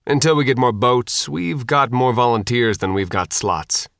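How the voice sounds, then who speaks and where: A laconic male petty officer of the Coast Guard, voiced by a man reading an audiobook. He is businesslike and matter-of-fact. His pitch is average and the sound is dry. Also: lively intonation, normal speed, gravelly, fairly bright tone, dry recording. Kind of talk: audiobook character dialogue.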